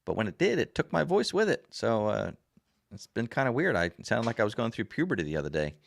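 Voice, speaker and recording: A man hosting a casual livestream and reading viewer comments aloud, his voice raspy after a sore throat, rambling in a folksy way with many fillers. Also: mid-range voice, big pitch swings, fast, no room sound. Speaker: livestream host. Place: home desk. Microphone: desk camera.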